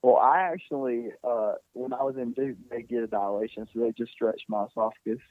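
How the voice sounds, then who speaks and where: A man in his twenties, a singer being interviewed, talking casually and softly with many fillers and restarts. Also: mid-range voice, fairly quick, dry recording. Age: 20s. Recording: tinny phone-like sound, dry.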